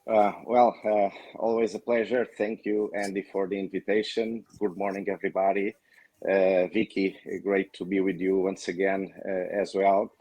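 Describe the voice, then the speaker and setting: A man's voice: sits low, level tone, normal speed, a little mellow, no echo, rough recording. A man from Portugal with a Portuguese accent, a company founder introducing himself hesitantly on a webinar panel. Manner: hesitant, many fillers.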